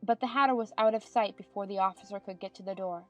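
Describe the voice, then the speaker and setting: A woman's voice: mid-range voice, fast talker, highs cut off, soft background music. A woman reading scripted stage narration for a dramatized audiobook.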